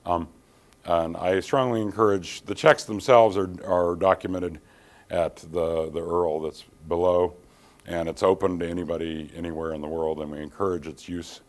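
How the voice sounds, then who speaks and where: Low-voiced man giving a technical keynote. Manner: measured, frequent ums and restarts. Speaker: keynote speaker, engineer. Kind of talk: technical conference presentation. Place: dim conference hall, standing by projector screen.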